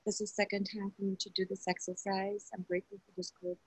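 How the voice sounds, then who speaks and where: A woman whose first language is Russian shares in a support group, speaking softly and hesitantly as she searches for words. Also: mid-range voice, little pitch movement, quick pace, slightly rough, no echo, poor audio. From Southern California. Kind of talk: personal sharing in a support group.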